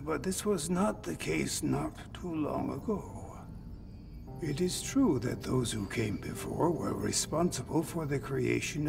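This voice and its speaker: An actor playing an ancient, grave cyborg sage, telling things in a solemn, measured way in a video game. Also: male voice, on the high side, gravelly, distant mic, full character voice. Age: older. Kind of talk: video game cutscene acting.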